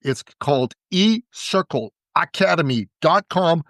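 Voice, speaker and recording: A man talking as a podcast guest and business coach, giving an energetic pitch and repeating words for emphasis. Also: measured pace, no room sound, clean studio sound.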